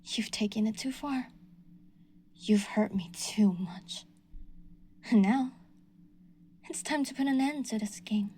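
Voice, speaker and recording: A woman's voice: mid-range voice, slow, pauses often, dark tone, slightly rough. A young woman playing an obsessive, delusional stalker in an audio roleplay, talking sweetly and menacingly and slipping into whispers.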